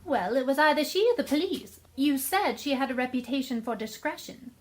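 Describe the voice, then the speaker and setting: A woman playing a gentle, upset well-to-do lady in a radio play. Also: high-pitched, animated, a little fast, background noise. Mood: defensive.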